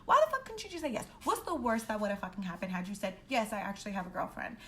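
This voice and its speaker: A woman casually telling a personal story in an animated, slangy, heavily profane way, acting out the dialogue as she goes. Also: mid-range voice, fast talker, slight background noise. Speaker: storytime vlogger.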